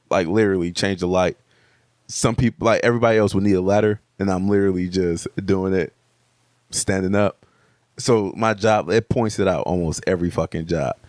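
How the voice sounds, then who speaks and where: A man co-hosting a podcast, casual and animated, quick to laugh and pose questions. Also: fairly low pitch, no room sound, clean studio sound. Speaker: podcast co-host. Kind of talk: casual chat.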